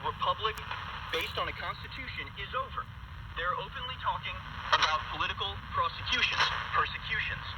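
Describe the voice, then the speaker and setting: A male political talk host with a slightly high voice speaks emphatically and with alarm, pausing for effect as he comments and reads from articles. He comes through a shortwave radio at some distance from the microphone. Loud outdoor noise and vehicles surround the sound. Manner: emphatic, alarmist, with dramatic pauses. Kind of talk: impassioned political commentary, reading articles aloud. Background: loud outdoor ambient noise, vehicles.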